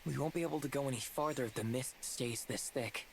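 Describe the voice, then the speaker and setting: A male actor's youthful hero from a video game, earnest and inquisitive. The voice sits at a medium pitch, with faint ambient noise behind it. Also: a little fast, vocal fry, natural voice, poor audio. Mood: curious, friendly.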